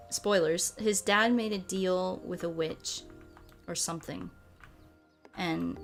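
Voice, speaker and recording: A woman streaming a game, chatting casually in a rambling, halting way with self-corrections and playful, cutesy phrasing. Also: on the low side. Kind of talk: casual chat while playing a game. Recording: music behind the voice. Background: music.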